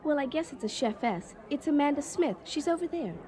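Scatterbrained female hostess, highish lively voice, nervous rambling, guileless, comedic radio drama. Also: fairly quick.

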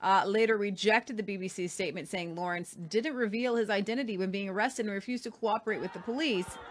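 A woman's voice: mid-range voice, fast talker. A woman hosting a news talk show, reading articles aloud with commentary and frequent "uh" fillers. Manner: reads steadily with frequent "uh" fillers.

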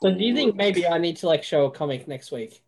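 A man's voice: fairly high pitch, animated, a little fast, crowd noise. A man on a podcast panel, making wry, profane jokes in casual banter. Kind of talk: casual banter among friends.

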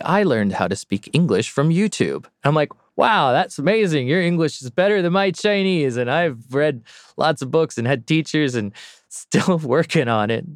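A man's voice: medium pitch, clear, resonant tone, dry recording, clean studio sound. A man who teaches and plays music, talking casually as a podcast guest in a reflective, encouraging way. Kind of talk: casual interview talk.

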